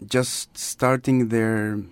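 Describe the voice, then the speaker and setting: Man, thoughtful and unhurried, dry close-miked read. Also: medium pitch, flat delivery, smooth, no echo. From Chile. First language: Spanish. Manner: thoughtful, searching for words in a second language. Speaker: guest. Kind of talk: casual conversational interview.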